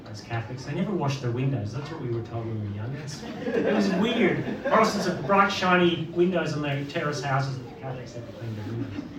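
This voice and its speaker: Animated Northern Irish preacher. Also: male voice, medium pitch, brisk, few pauses, crowd noise. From Northern Ireland. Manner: animated, rhetorical questions to the congregation. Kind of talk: sermon. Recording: roomy, distant mic with background noise.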